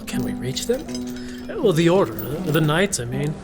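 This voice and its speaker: A hesitant male adventurer, talks slowly and haltingly with gentle concern. It is fantasy audio drama dialogue, with music clearly behind the voice. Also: on the high side, very animated. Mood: unsure. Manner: tentative, halting.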